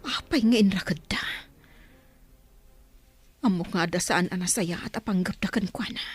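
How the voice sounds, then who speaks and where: A woman's voice: medium pitch, unhurried, husky. A woman playing a worried, indignant young daughter in a radio drama. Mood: anxious. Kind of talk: radio drama acting in Ilocano. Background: music.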